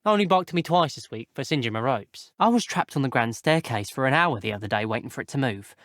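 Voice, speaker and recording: A man's voice: mid-range voice, animated, quick pace, fairly bright tone, no echo, studio quality. A man voicing a hapless, chatty schoolboy wizard in a video game, confiding and rueful. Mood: animated gossip. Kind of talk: video game character dialogue.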